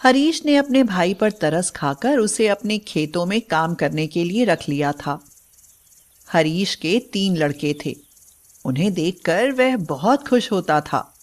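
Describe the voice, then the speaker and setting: A woman narrator reads a scripted tale. Her voice is fairly low for a woman, and she takes her time, pacing each sentence slowly. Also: expressive.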